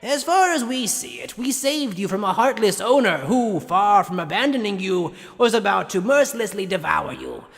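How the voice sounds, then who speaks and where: A man's voice: sits high, sing-song, normal speed, creaky, few pauses, a bit bright, exaggerated voice. A man voicing an arrogant, sadistic mad scientist in an anime fan dub, theatrical and condescending with mock politeness, recorded in long reverb.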